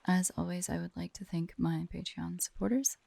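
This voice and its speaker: A woman's voice: lowish voice, steady tone, normal speed, gravelly, dark tone, no echo. A woman, an ASMR creator, casually thanking her viewers and supporters in an outro.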